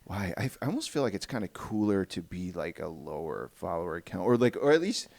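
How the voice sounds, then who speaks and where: A man hosting a podcast in casual interview chat, halting and often restarting his phrases. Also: low-pitched, big pitch swings, creaky, a little mellow. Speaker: podcast host. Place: podcast recording.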